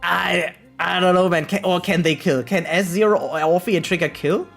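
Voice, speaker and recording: A man's voice: high voice, normal speed, creaky, a bit bright, faint music behind. A man live streaming and chatting casually with viewers in a rambling, repetitive, slangy and profane way, often checking in with a tag question. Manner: frequently tags sentences with "right?". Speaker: variety game live streamer.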